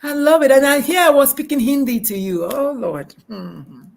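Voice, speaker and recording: A woman with a Nigerian accent hosting a live interview, talking in an excitable, rambling, effusive way. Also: sits high, normal speed, vocal fry. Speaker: host.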